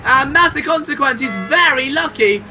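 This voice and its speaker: High-voiced man calling a motor race. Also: moderate pace, soft background music. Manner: excitable, exclamatory. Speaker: sim racing race commentator. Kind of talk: live play-by-play motorsport commentary. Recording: clear car engine noise behind. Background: racing car engines.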